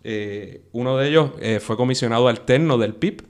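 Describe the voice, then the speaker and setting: A man's voice: mid-range voice, normal speed. A Puerto Rican man hosts the show in a mid-range voice. His talk is loose and chatty, full of jokes, with the easy tone of a friend bringing a guest on. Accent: Puerto Rican Spanish. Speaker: host. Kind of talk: casual talk-show introduction.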